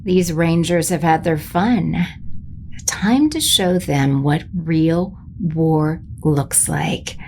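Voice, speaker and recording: A woman playing an imperious, scheming space sorceress empress in an audio drama, creaky and commanding. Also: sits low, extremely slow, darkish tone, a little hiss or hum, full character voice. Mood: scheming, menacing. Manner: commanding, haughty.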